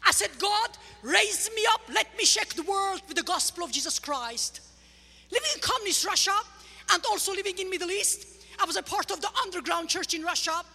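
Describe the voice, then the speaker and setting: A woman with an Armenian accent, a guest speaker giving a lively, humorous church testimony, heard in a large church. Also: very high voice, brisk, creaky. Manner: lively, humorous, teaching the crowd. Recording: large church hall reverb with faint music.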